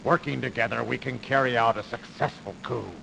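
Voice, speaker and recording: A calculating industrialist schemer for an old video game. His creaky, gravelly voice is insinuating and persuasive, with theatrical grandeur. There is obvious noise behind it. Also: mid-range voice, steady tone, brisk. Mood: grandiose, persuasive. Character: crooked, smooth-talking industrialist man, calculating, persuasive schemer. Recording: noisy early CD game audio.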